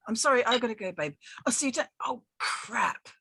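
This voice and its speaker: A woman playing a quiet, fresh-faced man in his twenties, hesitant and bemused, in a script reading. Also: on the high side, animated, brisk, vocal fry, breathy edge, no room sound, clean studio sound, exaggerated voice. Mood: flustered. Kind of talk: script table-read acting.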